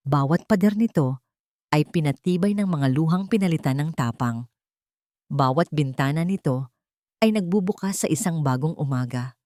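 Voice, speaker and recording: Woman, Filipino accent, low voice, dry close sound, scripted dramatic audiobook narration. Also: mellow, dry recording. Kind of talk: scripted dramatic first-person story narration.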